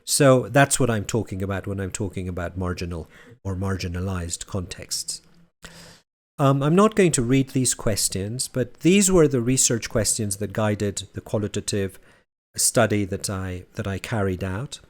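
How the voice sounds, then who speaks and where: A man who grew up in Barcelona, presenting his research at a conference as a university lecturer. He speaks in a measured way and builds his points as lists. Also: on the low side. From Spain (Barcelona).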